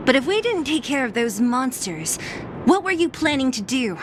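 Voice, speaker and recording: A woman's voice: sits high, big pitch swings, normal speed, background noise. An actress playing a brash, streetwise young woman fighter in a video game, teasing and blunt. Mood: scolding, taunting. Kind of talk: video game cutscene voice acting.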